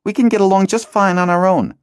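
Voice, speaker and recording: A man reading an audiobook, voicing a distraught young girl who pleads and shouts in a high, slow, shrill voice. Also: fairly even intonation, clean tone, no echo, studio quality, lightly acted. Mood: exasperated.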